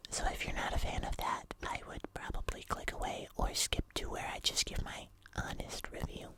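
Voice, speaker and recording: An ASMR host chatting casually to viewers in a soft, unhurried whisper with drawn-out phrases. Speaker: host of an ASMR video channel.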